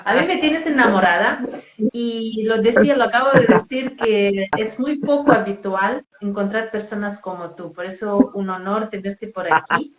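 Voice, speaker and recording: Host: woman from northwest Spain, gushing and enthusiastic, mid pitch, roomy echo over a video call. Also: moderate pace, crowd noise. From Galicia, Spain. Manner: effusive, enthusiastic, spiritual talk. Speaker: host, coach. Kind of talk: casual interview conversation. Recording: echoey room.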